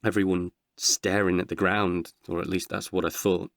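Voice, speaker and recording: A man performing scripted narration of a comic horror tale as a dramatic storyteller, with hushed suspense and deliberate pauses. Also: deep voice, level tone, no echo, studio quality.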